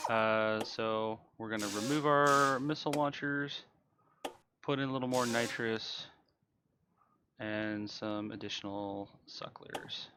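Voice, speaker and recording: A man commentates on a video game speedrun in a casual, explanatory way. His pitch is average and his manner matter-of-fact. Loud car noise from the game runs behind him.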